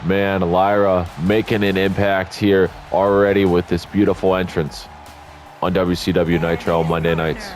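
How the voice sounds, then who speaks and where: A man's voice: deep voice, little pitch movement, normal speed. A man doing casual, rambling play-by-play commentary over a wrestling video game, sounding congested and tired after just waking up. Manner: chatty, rambling asides, self-corrections. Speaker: YouTube gaming commentator. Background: faint game music.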